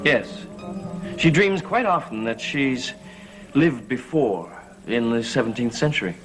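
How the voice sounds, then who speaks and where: An actor playing a grave, earnest man investigating dark powers in a gothic soap opera, speaking deliberately. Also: medium pitch, animated, natural voice, low-quality recording. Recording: vintage TV soundtrack with music.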